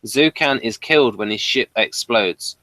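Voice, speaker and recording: A man's voice: mid-range voice, a little slow, pushed and bright, no echo, poor audio. A man hosting a review show, reading out summaries and putting questions. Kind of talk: reading an episode synopsis, then prompting discussion.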